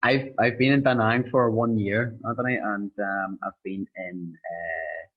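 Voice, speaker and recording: A young Irish man telling his story in an interview, pausing often with ums. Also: lowish voice, fairly even intonation. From Ireland. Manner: hesitant, many ums, rambling. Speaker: interviewed student. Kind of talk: casual interview. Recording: dull lo-fi sound.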